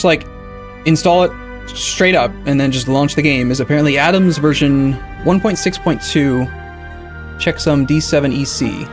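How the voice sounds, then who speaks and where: Rambling man streaming over faint music. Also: a little high. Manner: rambling, self-correcting, reads and answers chat. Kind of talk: casual live-stream chatter while setting up a game.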